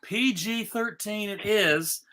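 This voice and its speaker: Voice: mid-range voice, takes it slowly, bright, forceful tone. A host of a live table read, chatting casually and announcing things to the audience like a jokey showman. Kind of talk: casual live chat introducing scripts.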